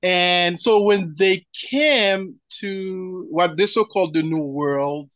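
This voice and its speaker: A man, a scholar answering interview questions in a measured way and spelling out terms letter by letter. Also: high voice, a bit bright, no room sound, highs cut off. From Haiti. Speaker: guest scholar. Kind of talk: academic interview.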